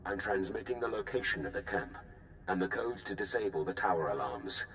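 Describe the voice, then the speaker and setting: A ruthless Sith lord from a video game cutscene, speaking slowly and bluntly in a very deep voice. Every word sounds measured and commanding, with a roomy echo and faint hiss behind it. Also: male voice, expressive, a bit bright. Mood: cold, calculating. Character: ruthless scheming Sith lord, calm and cold.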